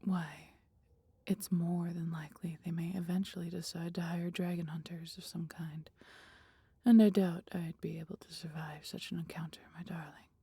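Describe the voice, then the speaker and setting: A woman voicing a caring, protective female dragon in an intimate, breathy ASMR roleplay, with gently formal, old-fashioned speech. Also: fairly low pitch, little pitch movement, normal speed, dry recording, exaggerated voice. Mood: grave, reluctant. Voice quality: breathy, whispery.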